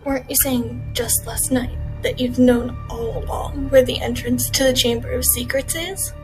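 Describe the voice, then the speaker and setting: A woman's voice: high-pitched, fairly even intonation, moderate pace, music in the background, natural voice, low-quality recording. A woman playing a sardonic school professor in an audio drama, with a pointed, needling manner. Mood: sly, goading.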